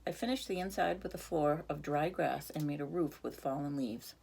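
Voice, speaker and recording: A woman's voice: sits low, moderate pace, creaky, darkish tone, breathy edge. A woman reading a picture book aloud expressively, now and then speaking to young listeners. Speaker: reader.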